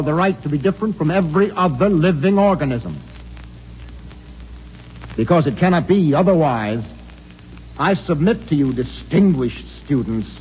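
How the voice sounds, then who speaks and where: Defiant young-hearted outcast orator, earnest and animated, American 1950s radio drama, dull old recording. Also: male voice, fairly high pitch. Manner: impassioned, oratorical, deliberate. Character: earnest, defiant male mutant outcast with twisted leg. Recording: muffled, faintly noisy old radio recording.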